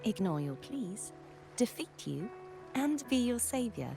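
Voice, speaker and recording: An actress playing an earnest, headstrong young maiden with knightly resolve in a video game, in formal, measured phrases. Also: female voice, fairly high pitch, big pitch swings, creaky, full character voice. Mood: earnest, resolute. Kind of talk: dramatic video game voice acting. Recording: music behind the voice.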